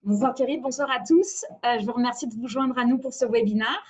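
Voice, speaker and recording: A woman's voice: on the high side, fairly quick, slightly rough. A woman from France, an immigration lawyer, giving a webinar presentation over a video call, explaining carefully with frequent hesitations.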